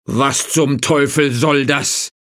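Man playing a slow, blustering peasant husband. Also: mid-range voice, steady tone, fairly bright tone. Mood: angry. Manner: emphatic, drawn-out, theatrical. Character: gullible, blustering peasant husband, easily outraged.

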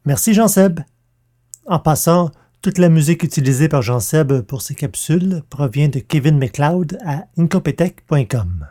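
Mellow, fairly high-voiced man announcing. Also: animated, normal speed. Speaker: podcast host. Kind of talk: scripted announcing.

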